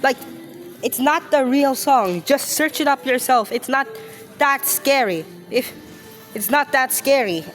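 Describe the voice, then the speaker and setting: A woman giving casual reaction commentary, speaking haltingly and often repeating and stumbling over her phrases. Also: sits high, faint music behind. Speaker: reaction video host.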